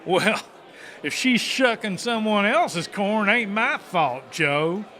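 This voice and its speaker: Goofy cocky hillbilly strongman, high bright voice, folksy bragging, comic audiobook. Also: lively intonation, takes it slowly, full character voice. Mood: eager, cocky. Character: dim, cocky, cheerful backwoods muscleman sidekick.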